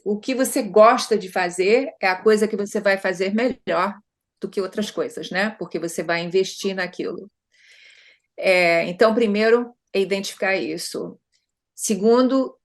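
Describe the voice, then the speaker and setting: A middle-aged Brazilian woman consultant speaking conversationally in an interview, often adding tag questions and restarting her sentences. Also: medium pitch. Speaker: consultant guest.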